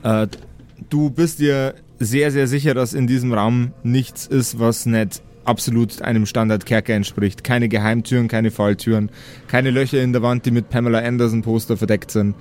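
A man runs an improvised tabletop game. His mid-pitched voice halts as he searches for words and turns jokey easily. There is noticeable noise in the background. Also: steady tone, moderate pace, a little mellow. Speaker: game master.

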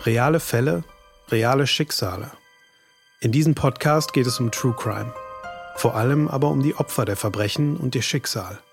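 A man's voice: mid-range voice, moderate pace, creaky. A man presenting a true-crime podcast with scripted narration. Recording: faint music bed under narration.

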